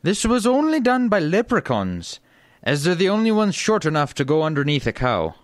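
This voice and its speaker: A man's voice: a little high, huge pitch swings, normal speed. A man voicing an earnest, scheming leprechaun who argues eagerly in a comic radio play. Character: earnest, scheming male leprechaun council member.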